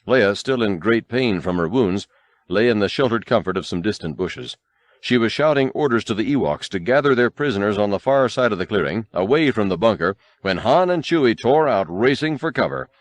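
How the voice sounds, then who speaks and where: A man's voice: lowish voice, lively intonation, normal speed. A man narrating an audiobook in a dramatic, scripted storytelling style.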